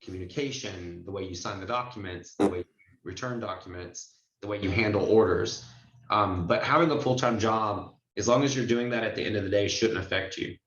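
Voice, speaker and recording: Low-pitched man teaching, echoey call. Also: a little fast, fairly bright tone. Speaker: notary trainer. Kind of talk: informal teaching in online Q&A. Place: indoors, online group video call. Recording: dull, echoey online call audio.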